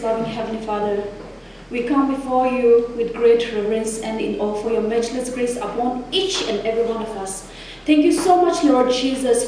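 A young woman leads a prayer in a high, fervent voice. She sounds earnest throughout. The hall echoes heavily, and she comes across a little far from the mic. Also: a little mellow. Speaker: prayer leader. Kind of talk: spoken public prayer. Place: echoey indoor hall, on a stage. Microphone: microphone on a stand. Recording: very echoey hall, distant, faint noise.

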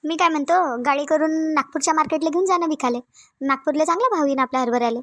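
An aging cartoon village mother, played by a woman, speaks in a very high voice with a Varhadi Marathi accent. She is plain-spoken and lonely, and she nags by saying things over again. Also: fast talker, fairly bright tone. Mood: practical, chatty. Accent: Varhadi (Vidarbha) Marathi. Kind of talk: cartoon family drama dialogue.

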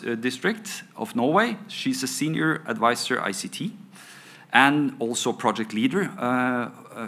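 Man, middle-aged, moderator reading notes, bright voice, stage table mic. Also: medium pitch. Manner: reads from notes with many fillers and restarts. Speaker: panel moderator. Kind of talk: introducing a conference panel. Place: conference panel stage indoors. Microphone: table microphone on stand.

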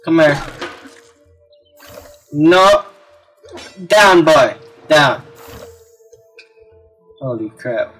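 A man's voice: on the high side, animated, extremely slow, pauses often, a bit bright, husky. A man giving casual gameplay commentary, exclaiming and reacting as he talks to himself. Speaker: let's play gamer. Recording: game music behind the voice. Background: video game music.

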